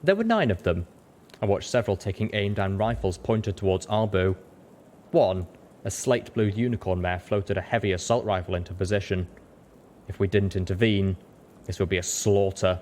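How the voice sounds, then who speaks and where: A man reading a story aloud as a dramatic audiobook narrator. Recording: rumbling background noise.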